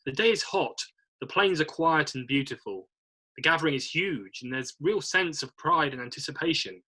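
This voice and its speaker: A male narrator reads scripted stage directions aloud. His voice is fairly high and forceful, with a husky, rough edge. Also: animated, moderate pace.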